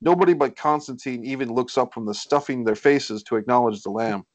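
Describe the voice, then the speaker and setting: A man's voice: mid-range voice, brisk, highs cut off. A man reading stage directions as scripted narration at a screenplay table read.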